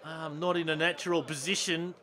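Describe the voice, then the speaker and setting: A man with an Australian accent commentating football highlights, with excited bursts at chances and goals. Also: a little fast, crowd noise. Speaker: match commentator.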